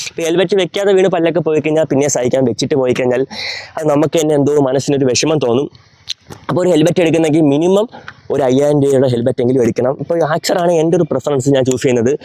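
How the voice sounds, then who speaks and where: A man's voice: medium pitch, mellow, no echo. A young man with a Malayali accent, chatting casually through a gear review and mixing in English words. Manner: rapid, chatty, mixes English words into his speech.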